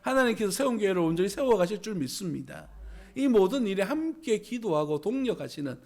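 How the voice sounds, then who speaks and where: A male pastor preaching a sermon in a small church, hoarse from a cold, with emphatic rising exclamations. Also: high voice, big pitch swings, fairly quick, darkish tone.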